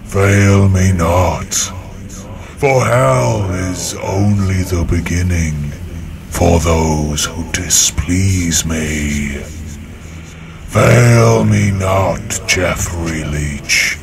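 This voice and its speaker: A man voicing a cruel, commanding devil who speaks slowly in archaic words, over music. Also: low-pitched, creaky, full character voice. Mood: menacing. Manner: slow, ominous, archaic speech. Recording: loud music behind.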